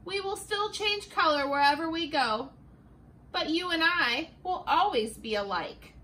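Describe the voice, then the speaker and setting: A woman voicing an older, wiser male chameleon who speaks gently and reassuringly, read from a picture book in a classroom. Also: very high voice, animated, a little slow, full character voice. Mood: gentle. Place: classroom, indoors.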